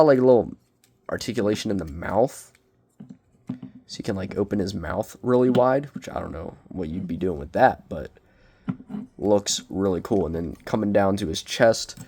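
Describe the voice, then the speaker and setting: A young man with a cold, sniffling as he reviews a collectible figure in casual, self-deprecating, excitable rambling. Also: lowish voice. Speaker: toy reviewer. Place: small bedroom.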